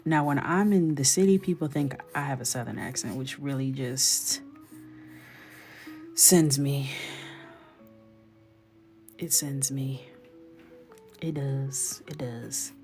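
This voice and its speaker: A low-pitched young woman from New York talks casually and playfully as she colors at her desk, now and then dropping to a whisper. Faint music plays behind her voice. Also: takes it slowly, pauses often, darkish tone. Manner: playful, chatty, drifts into whispers and laughs. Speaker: illustrator coloring on a livestream. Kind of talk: casual chat while coloring.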